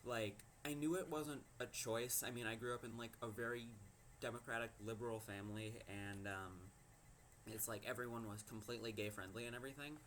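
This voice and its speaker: A fifteen-year-old boy from Minnesota with a low voice, telling a personal story to camera and hesitating often with ums and likes. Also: fairly even intonation, fairly quick, fairly bright tone, a little hiss or hum. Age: teens. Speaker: teen vlogger.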